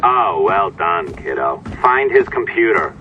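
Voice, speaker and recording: An actor playing a sarcastic, taunting hacker and blackmailer in a video game, with a conspiratorial manner. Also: male voice, sits low, big pitch swings, unhurried, narrow, tinny audio, noisy background. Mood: bossy. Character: sneering scheming man, hacker blackmailing the listener, taunting.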